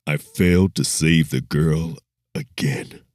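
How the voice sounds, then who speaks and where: A man voicing a grieving male superhero with a mystic air, speaking gently and sorrowfully in an audiobook story. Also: very deep voice, slow pace, no echo, clean studio sound. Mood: gentle grief.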